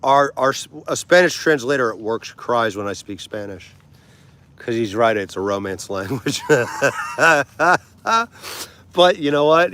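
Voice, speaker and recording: Casual streamer, man, late forties, mid-pitched, chatty and loose, quick to laugh. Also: a bit bright. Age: 40s. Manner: chatty, laughs easily, tries foreign words slowly. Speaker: livestream host. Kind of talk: casual livestream chat with viewers.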